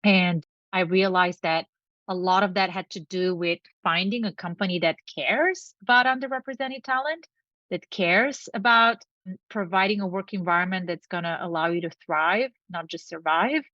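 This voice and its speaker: A woman's voice: lowish voice, normal speed, fairly bright tone, no room sound. Earnest Bulgarian-born woman on a dull, dry line. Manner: earnest, reflective, speaks in long lists. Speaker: guest, company founder. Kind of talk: interview conversation.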